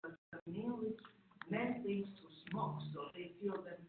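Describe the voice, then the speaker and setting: Halting woman heard from far off in an echoey hall. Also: mid-range voice. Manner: halting, searching for words. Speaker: audience member. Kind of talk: question from the floor. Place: conference room. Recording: distant, very echoey, phone-like and noisy.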